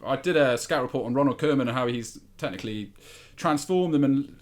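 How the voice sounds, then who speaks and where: A man talking football as a podcast panelist, giving his analysis in a conversational, slightly halting way. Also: medium pitch. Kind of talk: football discussion, casual analysis.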